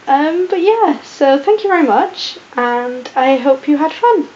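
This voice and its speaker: A sleep-deprived young woman with a put-on posh British accent, chatting casually and rambling through viewer questions with a croaky voice. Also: sits high, expressive, unhurried, a little mellow. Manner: rambling, chatty, with many ums and restarts. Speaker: vlogger answering viewer questions. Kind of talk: casual Q&A chat. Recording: echoey room, dull lo-fi audio with faint hiss.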